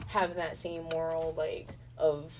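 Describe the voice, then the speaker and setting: A woman talking casually and informally as a guest in a relaxed interview. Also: sits low, a little slow. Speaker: voice actor guest. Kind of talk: casual impromptu interview chat. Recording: noisy room, distant mic.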